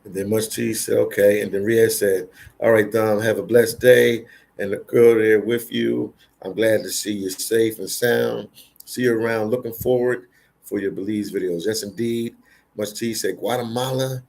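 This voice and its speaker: A man's voice: lowish voice, fairly even intonation, moderate pace, gravelly, a little mellow. A middle-aged American man hosting a casual livestream, reading viewer comments aloud in a laid-back, slangy, teasing way. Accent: American English.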